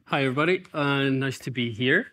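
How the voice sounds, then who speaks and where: A middle-aged man speaking as a panelist on a stage, hesitant with many pauses and fillers. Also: mid-range voice, a bit bright. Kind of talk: casual panel talk. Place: large stage studio.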